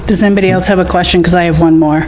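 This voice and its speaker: A woman's voice: on the low side, fairly even intonation, rapid-fire, noisy background. Chatty woman asking from the audience. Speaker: audience questioner. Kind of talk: public forum question.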